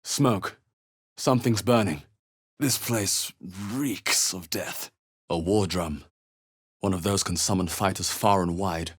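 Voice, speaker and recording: A husky, wary fantasy game adventurer. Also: male voice, sits low, slow pace, full character voice. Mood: wary, observant. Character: male adventurer hero, wary, determined, spellcaster. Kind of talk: video game character voice lines.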